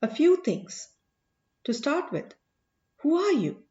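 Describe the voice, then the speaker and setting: A woman's voice: high-pitched, big pitch swings, slow, dark tone, roomy sound, lo-fi sound, voice pushed up, heavily acted. A woman voicing a respectful but wary man in an audiobook, deferential yet insistent in tone. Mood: wary, challenging. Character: respectful, wary man questioning his holy elder. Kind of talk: audiobook character dialogue.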